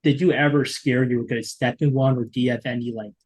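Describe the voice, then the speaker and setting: A man's voice: mid-range voice, fairly even intonation, fast talker. Eager male interview host. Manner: eager, curious questioning. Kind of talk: conversational interview.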